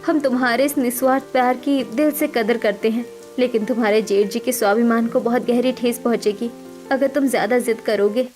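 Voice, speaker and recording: A poor village mother, played by a woman, speaks gently in a high voice. She is dutiful and earnest in a cartoon family melodrama, with music behind her. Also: steady tone, fairly quick, lightly acted. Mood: gentle appeal.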